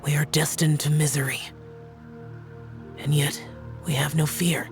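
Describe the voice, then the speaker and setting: A man voicing a stoic, burdened immortal warrior in a video game, speaking in a measured, solemn, brooding way. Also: on the high side, little pitch movement, soft background music, natural voice. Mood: solemn, mournful. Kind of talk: dramatic video game monologue.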